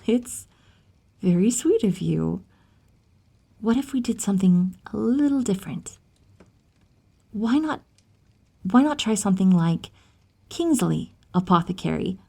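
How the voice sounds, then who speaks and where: A woman acting an inquisitive, determined divine healer and wife in an ASMR audio roleplay, soft and thoughtful, at times whispery. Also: mid-range voice, creaky, breathy edge, full character voice. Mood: touched, affectionate.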